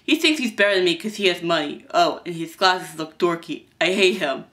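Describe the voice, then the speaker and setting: A woman's voice: on the low side, lively intonation, a little fast, fairly bright tone. A woman voicing a vain, bossy schoolgirl villain in a story. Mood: snooty.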